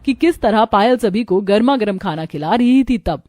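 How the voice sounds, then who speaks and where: A woman's voice: medium pitch, animated, moderate pace, a little mellow. A woman reading a scripted moral story as its narrator.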